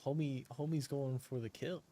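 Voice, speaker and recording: A man giving casual, laid-back commentary as a game streamer, in halting phrases. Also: mid-range voice, steady tone, mellow. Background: game music.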